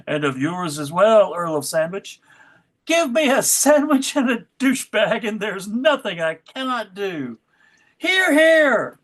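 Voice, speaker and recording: A woman playing a hearty, effusive aristocrat in a comedy sketch, with a jovial and grandly theatrical manner. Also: on the high side.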